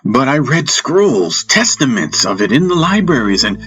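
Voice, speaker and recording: A man's voice: medium pitch, big pitch swings, moderate pace, a bit bright, slightly rough, pitched above the performer's own voice. A man voicing an earnest young boy who longs to be brave, insistent and indignant, in a fantasy audiobook with music behind.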